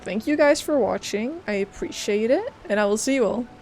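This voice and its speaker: A woman's voice: on the high side, lively intonation, moderate pace. A woman streaming a video game, giving casual, informal reactions and commentary as she plays. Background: faint game music.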